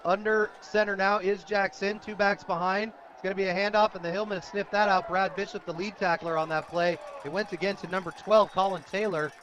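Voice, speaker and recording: High-pitched American man calling football. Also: fairly even intonation, fairly quick, hardly any pauses, a bit bright. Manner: reads sponsor plugs between plays. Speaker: play-by-play announcer. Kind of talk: live radio football play-by-play. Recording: dull lo-fi outdoor radio broadcast.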